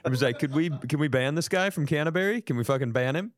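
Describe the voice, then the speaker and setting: A man's voice: on the high side, quick pace. A man podcast host telling a casual, comedic story in an exasperated, profane way, acting out the dialogue as he goes. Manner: exasperated, profane, animated retelling with acted-out dialogue.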